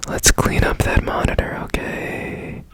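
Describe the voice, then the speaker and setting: A man playing a strange, curious repairman in a whispered ASMR roleplay, breathy and gently reassuring with repeated soft phrases. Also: a little slow, natural voice. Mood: soothing, focused. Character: strange, curious, soothing male repairman of broken machines. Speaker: ASMR performer.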